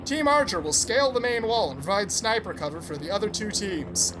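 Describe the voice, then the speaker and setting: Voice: mid-range voice, expressive, brisk, background noise, close to an everyday voice. An actor playing a pompous, vain squad commander in an audio drama, speaking in a grandiose, commanding way. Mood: briskly commanding. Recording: music behind the voice.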